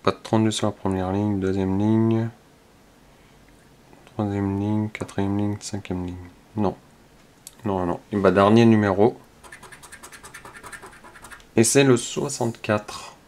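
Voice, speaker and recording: A man hosts a scratch-card video, calmly checking numbers one by one and muttering softly as he scratches. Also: lowish voice, unhurried, long pauses. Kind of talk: casual play-by-play while scratching tickets.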